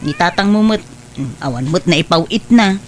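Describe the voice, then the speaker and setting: A woman playing a kindly, caring mother in an audio drama, gentle and solicitous, with a Filipino accent. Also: on the low side, animated, moderate pace, lightly acted. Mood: caring, motherly.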